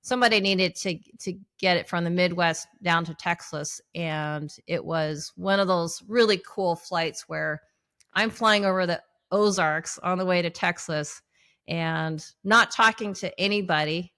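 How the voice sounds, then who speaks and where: A woman's voice: fairly low pitch, fairly bright tone, no room sound, clean studio sound. A woman speaking casually as an interview guest, sharing personal experience as a pilot and instructor.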